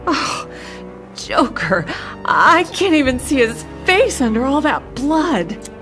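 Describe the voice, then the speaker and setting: Woman playing deceitful mother with lively intonation. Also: sits high, takes it slowly, faint music behind, heavily acted. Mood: panicked. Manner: curt, evasive, dramatic. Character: cold, deceitful adult mother, corrupt doctor. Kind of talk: comic-book drama acting.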